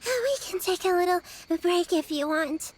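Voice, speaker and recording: A woman's voice: very high-pitched, expressive, moderate pace. A woman voicing a cheerful, caring and responsible little girl in a video game, chatty and encouraging. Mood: caring, upbeat.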